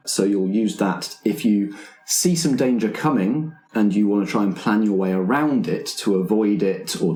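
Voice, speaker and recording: A man acting as game master, explaining tabletop game rules to his players in a halting, explanatory way. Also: lowish voice, a little mellow. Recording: roomy, echoing room sound.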